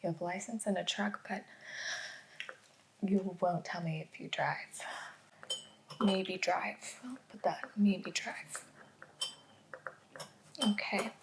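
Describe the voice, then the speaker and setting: Woman as gentle policewoman, soft-spoken ASMR, hushed and whispery, patient, reassuring, noisy recording. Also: mid-range voice. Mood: gentle, probing. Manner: slow, reassuring, echoes back answers. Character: gentle, patient female police interrogator taking notes. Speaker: ASMR performer.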